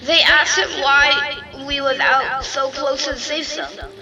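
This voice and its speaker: A woman playing a frightened, guilt-ridden young boy in an audio drama, halting and tearfully pleading. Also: sits high, level tone, far from the mic, muffled recording, music in the background. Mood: scared, defensive.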